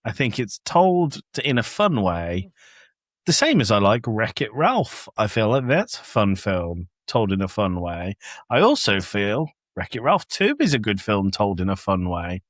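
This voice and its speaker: Lowish-voiced man in casual podcast chat. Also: sing-song, unhurried, no room sound, studio quality. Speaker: podcast co-host.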